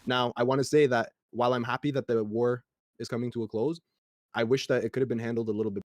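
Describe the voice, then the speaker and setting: A man's voice: mid-range voice, steady tone, quick pace, no room sound. A man from Iran, a native Persian speaker, speaking bluntly and indignantly in a heated roundtable discussion. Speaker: roundtable panelist.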